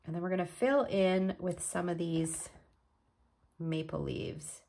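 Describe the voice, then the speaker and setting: Woman, low husky voice, stuffed up from a cold, relaxed craft tutorial delivery. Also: measured pace. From Canada. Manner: relaxed, step-by-step explaining while working. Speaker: card-making demonstrator.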